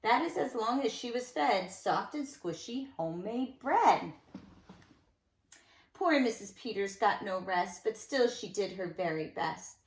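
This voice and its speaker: A woman reading a picture book aloud to young children in an expressive storytelling style, with dramatic emphasis and conversational asides. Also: mid-range voice, unhurried. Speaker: nursery school teacher reading a storybook aloud. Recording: echoey room sound.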